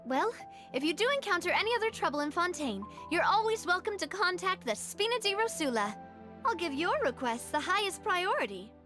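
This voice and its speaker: A woman's voice: very high-pitched, sing-song, brisk, pushed and bright, exaggerated voice. A woman voicing a young, generous female leader in a video game, breezy and putting on a brave face. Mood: fond, bittersweet. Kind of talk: animated video game voice acting. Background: music.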